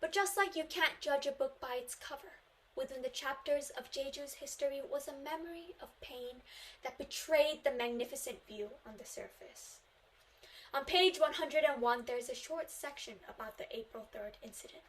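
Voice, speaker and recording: A woman's voice: high-pitched, normal speed, a bit bright, rough recording. A Korean teenage girl, a native Korean speaker, delivering an earnest memorized speech in English at a student contest, stressing key phrases. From South Korea. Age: teens.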